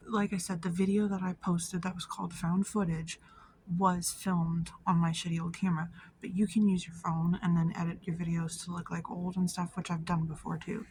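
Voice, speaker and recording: Low, even-toned casual woman vlogger. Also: slight background noise. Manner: informal, slangy, frequent "like" and swearing. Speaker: video creator. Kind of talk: casual vlog commentary.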